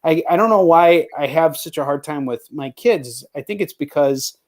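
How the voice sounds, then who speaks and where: A man with a slightly high-pitched voice hosts a casual live video chat. His talk is informal and slangy, full of "like" and "you know," as in a relaxed interview. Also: normal speed. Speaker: host of a live chat show.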